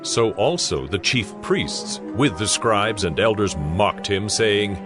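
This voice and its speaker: A man narrating a dramatized audio Bible in a solemn, measured storytelling manner. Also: fairly low pitch, lively intonation. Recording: music under the narration.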